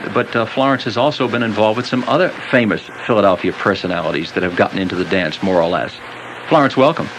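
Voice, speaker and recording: A man hosting a live radio interview, speaking in wordy, halting, formal phrases. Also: lowish voice. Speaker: radio host. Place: radio studio. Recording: noisy 1983 radio taped on cassette. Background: clear background noise.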